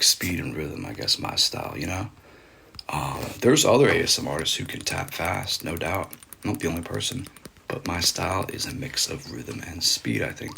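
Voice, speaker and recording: Laid-back young man, deep gravelly voice. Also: fairly bright tone, slight background noise. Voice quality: describes his voice as medium deep, sometimes deeper. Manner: laid-back slangy talk, thanks donors between whispered asides. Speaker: ASMR live streamer. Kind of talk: casual live-stream chat with viewers.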